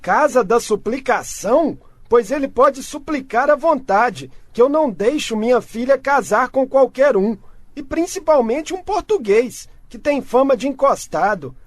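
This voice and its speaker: A bossy grumpy brazilian dad for radio drama, really high pitched, dry sound. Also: male voice, expressive, measured pace, no echo, heavily acted. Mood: stern, indignant. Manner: bossy, scolding. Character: stern, grumpy father of a young bride. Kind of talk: radio soap opera acting.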